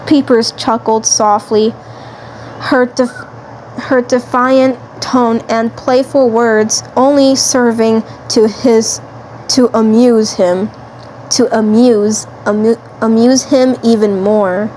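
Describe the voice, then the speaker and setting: A woman narrating a fan story aloud in an amateur way, halting and often repeating phrases. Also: fairly high pitch, fairly even intonation, darkish tone, a little hiss or hum, rough recording.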